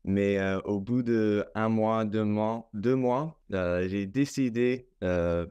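A young Canadian man, a native English speaker, talking in careful learned French on a video call, with many hesitant pauses. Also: fairly low pitch, a little mellow, clean tone, highs cut off. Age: young adult. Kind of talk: casual conversation in learned French. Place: small room at home. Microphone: laptop webcam mic on video call.

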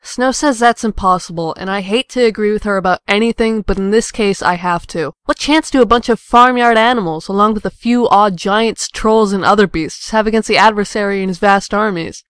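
A woman's voice: medium pitch, brisk, few pauses, clean tone, no room sound, natural voice. A woman playing a doubtful, weary young woman in a comic dub, casual and resigned. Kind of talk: comic dub character acting.

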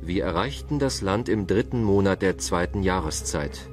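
Low-voiced ancient Egyptian expedition man. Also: lively intonation, normal speed, darkish tone, faint music behind. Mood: grave. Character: ancient Egyptian male expedition member recounting hardship. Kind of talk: dramatised quote in a history documentary.

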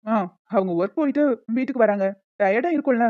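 A woman's voice: fairly high pitch, brisk, no echo, lo-fi sound, natural voice. A woman voicing a sensible young adult son in a cartoon family drama, matter-of-fact and reasoning.

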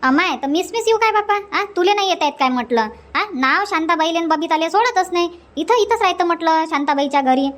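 A woman's voice: extremely high pitch, animated, brisk, fairly bright tone. A woman voicing a chatty, teasing village aunt in a comedy cartoon, exclaiming in a Varhadi Marathi accent. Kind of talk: cartoon comedy dialogue in rural dialect.

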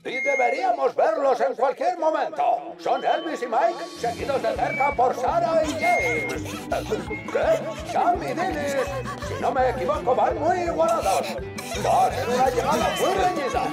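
A man voicing an excitable, theatrical older fire chief in a cartoon, with a European Spanish accent. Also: very high-pitched, measured pace, loud music behind the voice, over a noisy crowd, exaggerated voice. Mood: thrilled commentary. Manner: theatrical, exclamatory. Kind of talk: cartoon dub acting.

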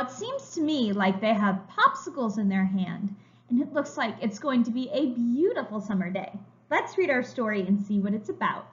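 A woman with a high, bright voice reads aloud to small children like a preschool teacher. Her delivery swings widely in pitch and is very expressive, with emphatic words. The recording is a little dull, like a home webcam. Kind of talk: children's story read-aloud. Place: room at home, indoors. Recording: slightly dull, lo-fi webcam sound.

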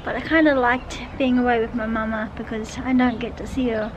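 A woman's voice: a little high, steady tone, a little fast, dull audio. A young woman vlogging to a handheld camera, chatting casually and rambling with many ums and small laughs, first out in a windy town and then at home. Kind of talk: casual vlog chat. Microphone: handheld camera at arm's length.

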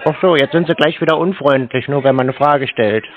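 A man's voice: a little high, a little fast. A man on a conversational phone call, sounding naive and asking insistent questions. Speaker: prank caller to scam call centres. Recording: slightly dull lo-fi call audio.

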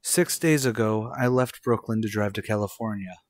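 A man's voice: fairly low pitch, close to an everyday voice. Actor as troubled man in a radio thriller. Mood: haunted, urgent. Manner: measured, dramatic narration. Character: anxious 36-year-old American man telling his eerie road story. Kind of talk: old-time radio thriller acting. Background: music.

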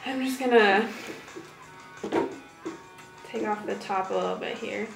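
A woman's voice: mid-range voice, unhurried, creaky. Young woman doing a casual makeup tutorial, kinda rambly and self-deprecating, echoey room, faint music. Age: young adult. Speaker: vlogger. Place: small bathroom indoors.

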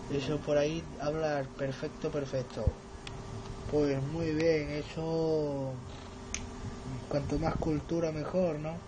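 A man's voice: on the high side, noisy background. A man chatting casually as a radio operator, often repeating words for emphasis. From Extremadura, Spain.

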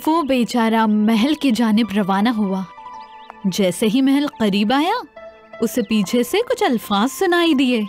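A woman narrating a scripted fairy tale for children in an expressive, dramatized way. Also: fairly high pitch, faint music behind. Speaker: storyteller.

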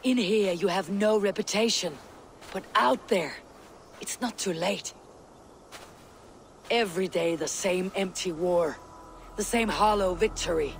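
A woman's voice: medium pitch, a little hiss or hum, natural voice. A woman voicing a resolute viking warrior. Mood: pleading. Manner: firm, earnest, measured. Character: resolute female viking warrior, steady and proud. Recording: game audio with music behind.

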